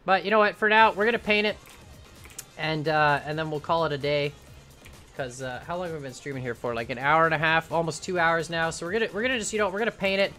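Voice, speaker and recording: A young man at his desk mic talks his way through a build on a live stream, wandering from thought to thought and correcting himself as he goes. His bright voice is wearing thin and turning hoarse. Soft music plays underneath. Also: fairly high pitch, fast. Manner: rambling, self-correcting, thinks aloud. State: voice going hoarse. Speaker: game streamer. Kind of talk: casual live-stream commentary while building. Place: home room at a computer.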